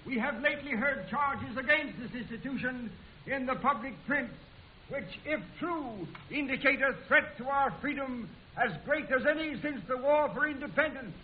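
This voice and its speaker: A man's voice: very high-pitched, moderate pace. An actor playing an impassioned congressman making a formal, declamatory speech in an old-time radio drama. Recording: muffled, hissy old radio recording.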